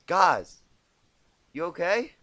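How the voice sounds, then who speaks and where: A man's voice: high-pitched, sing-song, drawn-out words, no echo, pitched above the performer's own voice, heavily acted. A man voicing a brash, impatient young female pegasus pony from a cartoon fan story, calling out tauntingly. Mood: annoyed calling out. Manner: loud, taunting calls.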